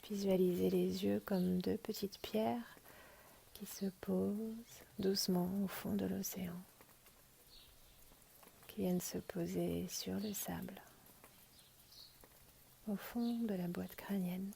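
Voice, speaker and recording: A woman guiding a relaxation meditation in a hushed, breathy, half-whispered, soothing voice, as a yoga nidra teacher. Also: mid-range voice, fairly even intonation, takes it slowly, pauses often, a little hiss or hum. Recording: rain and birdsong behind the voice. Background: rain and birds.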